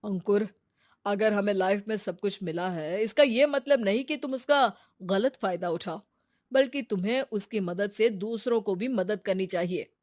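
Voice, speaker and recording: Woman plays a thoughtful older brother, steady and earnest, mid-pitched. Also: moderate pace, no echo, clean studio sound, close to an everyday voice. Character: responsible elder brother, calm and thoughtful.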